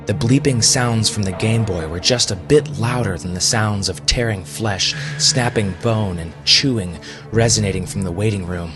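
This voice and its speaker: Low-voiced man narrating over music. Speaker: podcast host and story narrator. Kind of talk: horror story narration and podcast hosting.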